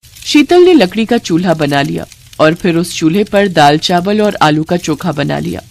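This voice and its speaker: A woman's voice: fairly low pitch, expressive, normal speed, vocal fry, darkish tone. A woman storyteller reading scripted narration for a moral storybook tale.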